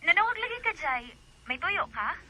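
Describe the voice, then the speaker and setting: Very high-voiced, friendly young Filipina. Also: female voice, very animated, moderate pace, background noise. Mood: easygoing. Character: friendly, easygoing young woman met on a bus trip. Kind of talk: radio drama acting.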